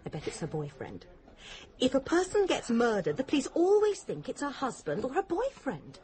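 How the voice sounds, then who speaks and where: A blunt young English woman in a radio play, high and lively in pitch. She is opinionated and insistent, with a morbid curiosity. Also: normal speed. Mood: morbidly fascinated. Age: young adult. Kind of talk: radio drama acting.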